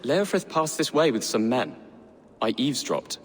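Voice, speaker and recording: An earnest young prince, hesitant and breathy, with a lively swing in pitch. The voice is husky and rings with long reverb, in dramatic game style. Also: male voice, normal speed. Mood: anxious, earnest. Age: young adult. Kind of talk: dramatic video game acting.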